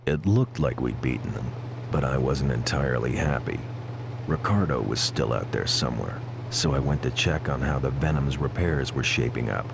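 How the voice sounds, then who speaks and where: A man playing a weary, cynical police pilot in a video game, narrating in a brooding, wry, gravelly voice. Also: extremely low pitch, moderate pace. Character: weary, cynical male police gunship pilot telling his story. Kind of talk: scripted noir-like narration. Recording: clearly noisy, vehicle-like ambient hum behind.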